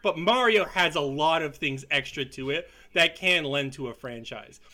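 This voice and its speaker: Bright, forceful, higher-pitched man. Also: lively intonation, normal speed. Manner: blunt, opinionated, casually sweary. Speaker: podcast co-host. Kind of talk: casual film discussion.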